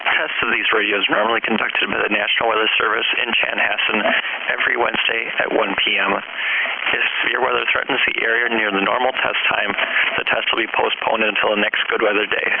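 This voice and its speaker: Synthetic male voice, automated weather announcement, flat delivery, quick pace, tinny radio with hiss. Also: lowish voice, vocal fry.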